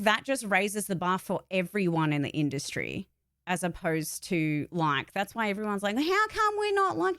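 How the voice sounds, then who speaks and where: A woman's voice: mid-range voice, a bit bright, no echo, clean studio sound. A woman chatting casually and candidly as a host, blunt and emphatic, swearing freely. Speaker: voice actor and channel host. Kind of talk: casual livestream chat, candid opinions.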